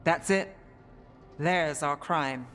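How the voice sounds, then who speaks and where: An actress playing a shrewd investigator in a video game cutscene, probing and direct. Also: female voice, sits low, very slow, a bit bright, full character voice. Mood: insistent. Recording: hall reverb, music underneath.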